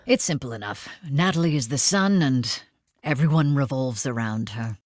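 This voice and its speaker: An actress playing a worldly, wry and jealous lover in an audio drama, blunt and sardonic in manner. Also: female voice, rumbling low, lively intonation, normal speed, darkish tone, dry recording, studio quality. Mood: wry, resigned.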